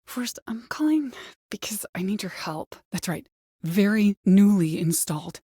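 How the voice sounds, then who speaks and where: An actress playing a woman caller in a video game, by turns desperate, coaxing and menacing, with a hushed, insistent way of pleading. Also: mid-range voice, animated, normal speed, slightly rough, dry recording, clean studio sound. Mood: frightened pleading. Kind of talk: video game voice acting, dramatic dialogue.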